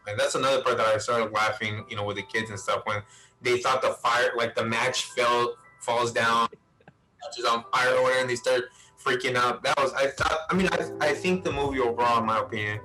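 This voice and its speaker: Bright-voiced man hosting casually over music. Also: medium pitch, fairly quick, rough recording. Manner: informal, saying "man" and "bro" often. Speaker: host. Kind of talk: casual chat about video game movies. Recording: music playing behind the voice.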